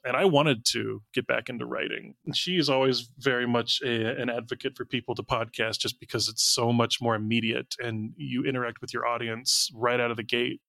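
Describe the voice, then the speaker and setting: American male interview guest, conversational, mid pitch with noticeable fry, close dry sound. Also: sharp tone, no room sound. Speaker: interview guest, writer and audio drama director. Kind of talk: casual interview chat.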